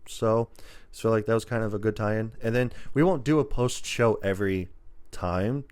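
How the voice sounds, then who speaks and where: A man's voice: fairly low pitch, normal speed, a little mellow, clear, resonant tone. A man hosting a podcast in casual, informal chat, rambling as he goes. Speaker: podcast host.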